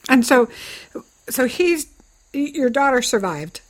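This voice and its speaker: A woman's voice: high-pitched, animated, slow, rough recording. A woman hosting an interview show, asking sympathetic and curious questions of her guests. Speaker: talk show host.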